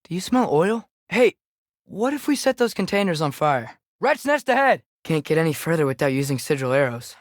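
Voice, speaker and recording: A man's voice: fairly high pitch, big pitch swings, moderate pace, no echo, studio quality. A young male actor with an American accent playing an earnest, curious teenage boy adventurer in a video game, with a light youthful voice and casual, observant delivery. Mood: alert, excited. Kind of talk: video game companion dialogue.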